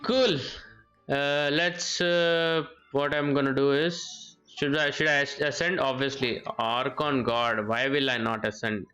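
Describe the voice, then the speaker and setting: A man's voice: medium pitch, steady tone, unhurried, sharp tone, poor audio. A man giving casual live game commentary, thinking aloud and often repeating his phrases. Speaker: game streamer. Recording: game music behind the voice. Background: video game music.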